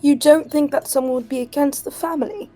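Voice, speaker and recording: High, mellow-voiced woman in role-play banter. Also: fairly even intonation, fairly quick, faint music behind. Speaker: tabletop game player.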